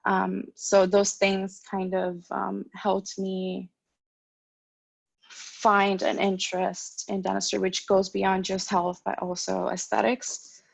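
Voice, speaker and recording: A woman's voice: mid-range voice, monotone, slow pace, gravelly, breathy edge, dry recording. A woman in her twenties from Cuba, a dental student on a panel, telling her story earnestly with frequent ums and restarts, heard over a video call. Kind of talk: panel talk, personal story. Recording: dull lo-fi dry sound.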